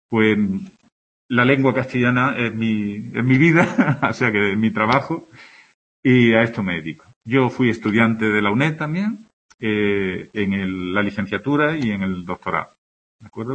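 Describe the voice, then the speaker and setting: A man's voice: fairly low pitch, a bit bright, slightly rough, low-quality recording. A middle-aged man with an Andalusian Spanish accent, giving a friendly introductory lecture in a classroom, often checking in with his students. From Andalusia, Spain. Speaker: university access course tutor.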